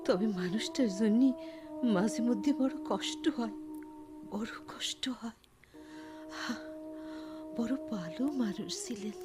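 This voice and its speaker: A woman's voice: fairly high pitch, expressive, slow pace, pauses often, over music. A woman with a Bangladeshi accent acting an affectionate, hospitable older village mother-in-law in a Bengali radio drama. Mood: tearful.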